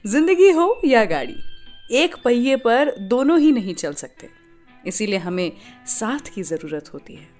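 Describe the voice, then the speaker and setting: A woman radio host with an Indian accent speaks in a fairly high, very expressive voice. She narrates like a storyteller, asks the listener questions and slips in English phrases. Light music plays behind her. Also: measured pace. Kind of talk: scripted radio show narration, conversational storytelling.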